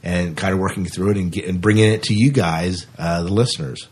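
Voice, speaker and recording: A man with a low, husky voice chats as a podcast co-host. He speaks informally and with enthusiasm, as if talking straight to regular listeners. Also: fairly even intonation, fairly quick, a little mellow. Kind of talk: casual podcast chat.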